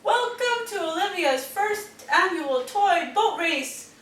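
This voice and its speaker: A woman's voice: very high voice, expressive, slow pace, a bit bright, reverberant, far from the mic, pitched above the performer's own voice, heavily acted. A woman voicing a bossy, inventive little girl in a storybook, eager and commanding in her exclamations.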